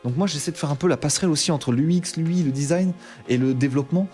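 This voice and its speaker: A man with a Bordeaux French accent talks casually to camera as a tech YouTuber. He is animated and slangy, with a mildly exasperated tone. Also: on the high side, fast talker, soft background music.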